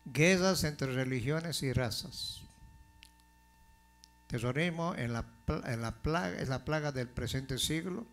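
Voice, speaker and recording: Slow, deliberate male pastor preaching. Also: medium pitch. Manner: slow and deliberate, building to forceful emphasis. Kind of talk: sermon.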